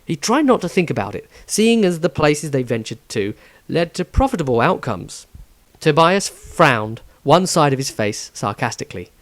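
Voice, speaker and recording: A man narrating a fantasy story aloud in an expressive storytelling manner. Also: on the high side, moderate pace, dry recording.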